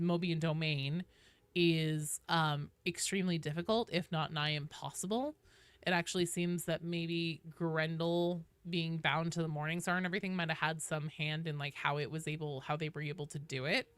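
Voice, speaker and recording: A woman's voice: low voice, a bit bright, clean tone, no echo. A woman hosting a livestream, talking casually and explaining game lore with firm opinions and casual swearing. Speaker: live streamer host.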